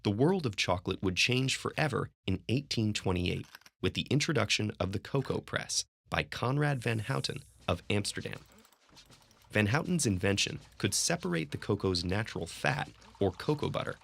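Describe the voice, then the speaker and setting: A man giving scripted narration for an educational video in a smooth, measured storytelling way. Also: on the low side, animated, a bit bright. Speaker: documentary narrator.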